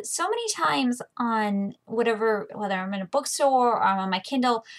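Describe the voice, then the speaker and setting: An American woman with an informal, conversational way of talking, hosting a book reading video series. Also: a little high. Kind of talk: casual chatty vlog, then reading a novel aloud.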